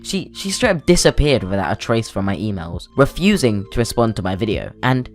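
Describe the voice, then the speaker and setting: Lower-pitched man giving video commentary. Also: sing-song, a little fast, faint music behind. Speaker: guest YouTube commentator. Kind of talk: scripted opinion commentary.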